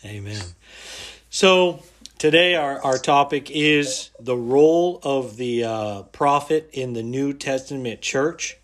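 A man hosting a podcast interview, hesitant, with many pauses and fillers. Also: mid-range voice, a bit bright. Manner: hesitant, many ums and uhs. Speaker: podcast host.

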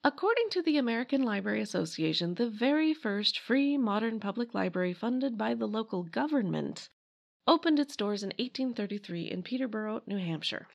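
A woman's voice: on the high side, animated, fairly quick, gravelly, muffled recording. A woman hosting a podcast, reading scripted history narration in a wry, emphatic way. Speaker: podcast host.

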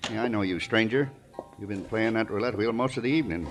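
An actor playing a slick, crooked gambling-hall owner who calls out roulette bets in a sing-song barker style, on an old radio drama. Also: male voice, fairly low pitch, fast talker, close to an everyday voice. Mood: threatening. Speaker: roulette croupier, casino owner. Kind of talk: 1940s radio acting. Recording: old radio broadcast with music under.

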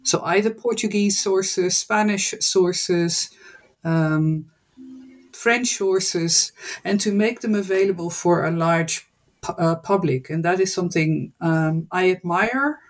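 A Dutch woman from the Netherlands, a scholar guest answering interview questions in a thoughtful, measured way, often pausing to find her words. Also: lowish voice.

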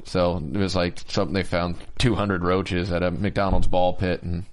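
A man's voice: low voice, flat delivery, normal speed. A man co-hosting a casual podcast, laid-back, telling anecdotes. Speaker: podcast co-host. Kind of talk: casual chat.

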